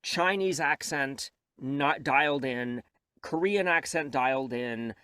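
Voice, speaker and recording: A man, an audiobook narrator and coach, talking as a guest in a candid interview. He is reflective and self-deprecating, and he speeds up when he gets animated. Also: medium pitch, slow, clear, resonant tone, no room sound.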